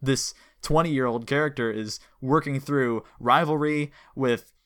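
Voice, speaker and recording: A man's voice: medium pitch, measured pace, fairly bright tone, clear, resonant tone. A man co-hosting a podcast, talking casually and analytically in a chatty, explanatory way. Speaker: podcast co-host.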